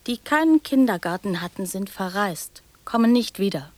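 A woman's voice: medium pitch, animated, moderate pace, a little mellow, no room sound, natural voice. An actress playing a young girl in a radio drama, prattling and teasing in childlike fragments that often drop to whispers. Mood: insistent, chatty.

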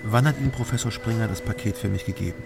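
A man narrating a crime story in first person and questioning witnesses calmly. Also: on the low side, fast talker, vocal fry. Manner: calm, questioning. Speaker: narrator and detective protagonist. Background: music.

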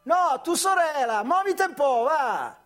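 A male storyteller acts out a brusque train driver from in a stage tale. His voice is pitched high, with a bossy, mocking edge. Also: animated, a little slow, fairly bright tone, faint music behind, exaggerated voice. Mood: impatient, mocking. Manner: bossy, mocking, dialect-flavoured. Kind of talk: one-man stage storytelling.

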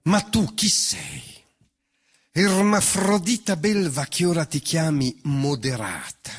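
A man's voice: a little high, slow, husky. A man reciting epic poetry in a solemn, declamatory, rhetorical manner. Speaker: reciting performer. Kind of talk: dramatic poetry recitation over band music. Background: wind band music.

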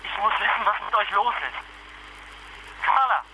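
An actor in a radio drama playing a frantic, worried husband and father caught in a disaster, pleading urgently and haltingly. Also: high voice, steady tone, bright, forceful tone, exaggerated voice. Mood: desperate worry. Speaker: radio worker informing the public. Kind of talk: modern radio drama acting. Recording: noisy radio or phone line, slightly dull.

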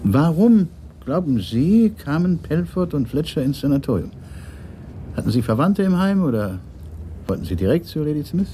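An actor playing a stuffy, dismissive police chief, a titled London gentleman, pompous and condescending, in a radio crime play. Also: a little high, very animated, moderate pace, a little hiss or hum. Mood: brusque, probing.

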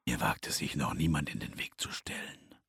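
An actor playing a boastful, blasphemous, threatening brute who mutters in a radio drama. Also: male voice, very deep voice, normal speed, creaky, fairly bright tone, slightly rough, whispered, heavily acted. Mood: menacing.

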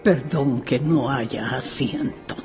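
An actress playing a very old, courteous but imperious widow in a radio drama, speaking with formal politeness. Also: female voice, a bass voice, slow, darkish tone, soft background music. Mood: courteous, probing.

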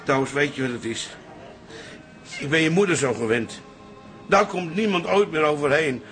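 A bright, forceful actor as an older father in a radio play. He sounds apologetic and rambling, his voice a little high for a man. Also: moderate pace, soft background music, natural voice.

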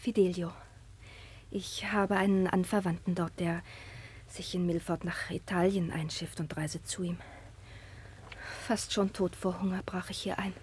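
An actress playing a frightened, polite young wanderer disguised as a boy in a classical radio drama, breathy, timid and pleading. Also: fairly low pitch, level tone, a little slow, long pauses, a little mellow, a little hiss or hum, lightly acted. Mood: anxious pleading.

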